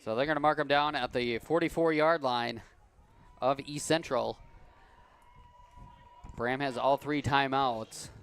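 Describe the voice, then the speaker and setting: A man calling a high school football game at an easy, unhurried pace. His voice sounds a little worn and cracks now and then. He is mid-pitched and relaxed behind the mic. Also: clear, resonant tone. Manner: calm, unhurried play calling with easy laughs. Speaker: play-by-play announcer.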